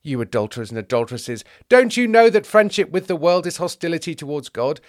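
A man's voice: on the high side, expressive, fairly quick, dry recording, clean studio sound, pitched above the performer's own voice, natural voice. A man playing a snide, self-righteous disciple in a comedy sketch. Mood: preachy. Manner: snide, preachy. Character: touchy, sarcastic, self-righteous male disciple.

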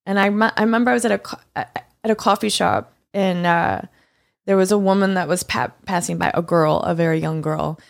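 A woman's voice: medium pitch, fairly even intonation, fairly quick, vocal fry. A woman from East Texas chatting casually on a podcast, telling a rambling story with lots of restarts. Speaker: guest co-host.

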